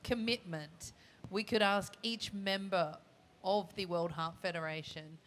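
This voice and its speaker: A woman's voice: on the low side, steady tone, unhurried, fairly bright tone. A woman moderating a live panel discussion in an informal, teasing way, speaking at a conference session. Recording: live event with clear background noise.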